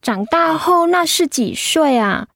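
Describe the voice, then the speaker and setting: An actress playing a pouty, affectionate high-school daughter in a radio drama, girlish and frank. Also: lively intonation, measured pace, creaky, fairly bright tone, no room sound, studio quality, exaggerated voice. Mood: curious, frank.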